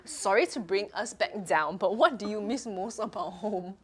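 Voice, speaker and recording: A woman hosting a podcast, asking interview questions in a bright, lively manner. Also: medium pitch, moderate pace. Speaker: podcast host.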